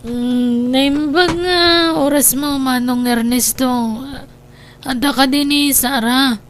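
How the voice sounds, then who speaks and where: A woman's voice: sits high, steady tone, takes it slowly, slight background noise. A woman with an Ilocano Filipino accent playing a lovelorn, distraught young woman in a comedy drama, pleading and emotional. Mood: plaintive.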